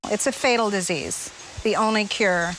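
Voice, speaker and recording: A woman's voice: mid-range voice, normal speed, fairly bright tone, a little hiss or hum, rough recording. A woman giving a personal account as an interviewee in a news story. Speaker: interviewee, patient's mother.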